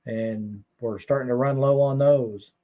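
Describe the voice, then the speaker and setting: A man chats easily as a guest on a fishing podcast. He laughs often and restarts his thoughts mid-sentence, and his voice sits at a middling pitch. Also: steady tone, measured pace, lo-fi sound. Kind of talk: casual chat.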